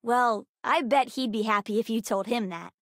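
A woman voicing a bright, bookish, chatty young girl with an American accent, as a video game character. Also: fairly high pitch, moderate pace, no room sound, studio quality. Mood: chatty, curious. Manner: chatty, expressive, youthful.

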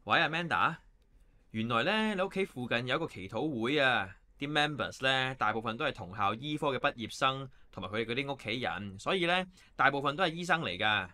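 A man's voice: medium pitch, animated, brisk, pushed and bright. An actor playing a friendly, eager, modest young man in a Hong Kong Cantonese radio drama. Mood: friendly, upbeat.

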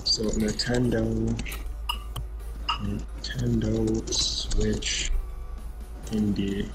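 A man's voice: fairly low pitch, little pitch movement, extremely slow, poor audio. A man reacting casually to a livestream, muttering rambling asides. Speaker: reaction streamer. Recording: double audio, loud music over voice. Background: loud showcase stream audio and music.

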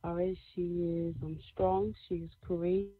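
Soft-voiced woman on a tinny phone line. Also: on the low side, flat delivery, moderate pace, dry recording. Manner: preachy, repeats "you know" and "all right". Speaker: motivational speaker, guest. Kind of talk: faith-based interview answers.

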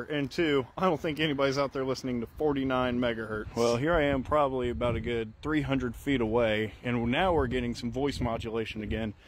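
A man's voice: medium pitch, a little hiss or hum. A man talking casually through a hands-on product review in a wry, matter-of-fact way.

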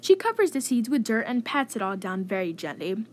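A woman reading a children's story aloud in an expressive, clearly enunciated storytelling manner. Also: on the high side, brisk, a little hiss or hum. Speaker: host reading children's picture book aloud.

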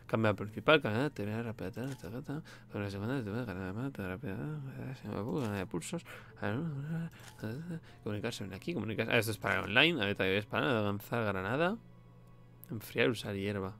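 Bright-voiced Spanish man with some creak. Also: on the low side, animated, a little fast, faint music behind. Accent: Spain. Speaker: video game streamer. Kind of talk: casual gameplay commentary.

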